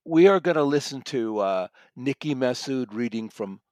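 A man with a mid-range voice discusses books as a critic in a dry, close recording. He speaks conversationally and thoughtfully, with a halting flow and repeated words. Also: medium pitch, animated, darkish tone, no echo. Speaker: audiobook reviewer and guest critic.

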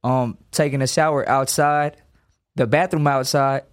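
A man's voice: mid-range voice, steady tone, measured pace. A man in his twenties from Los Angeles, a boxer talking casually in an interview, full of slang and emphatic asides. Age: 20s. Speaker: boxer guest.

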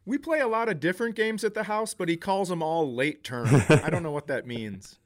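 A middle-aged man with a slightly high voice tells stories as a podcast comedian. He is irreverent and swears freely, keeping the banter casual and joking. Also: animated, a little fast.

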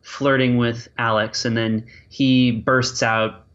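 A man's voice: medium pitch, little pitch movement, slow pace, a bit bright, clear, resonant tone. A man who grew up with French and English, answering interview questions conversationally as a linguist, often circling through asides. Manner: hesitant starts, peppered with "you know" and "like". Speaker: linguist and language creator being interviewed. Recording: slightly dull, lo-fi audio.

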